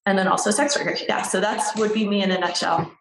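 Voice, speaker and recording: A woman's voice: mid-range voice, steady tone, fast talker, distant mic, a crowd in the background. A young adult woman, a writer and interview guest, talking casually and rambling with many fillers and restarts. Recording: roomy echo, voice set back from the mic.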